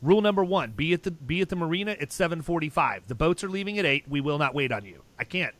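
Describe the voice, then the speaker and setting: A man's voice: fairly high pitch, animated, quick pace, a bit bright, no echo. A man hosting a podcast, telling stories in a blunt, emphatic and talkative way, often restarting his sentences. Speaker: podcast host. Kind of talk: casual podcast chat.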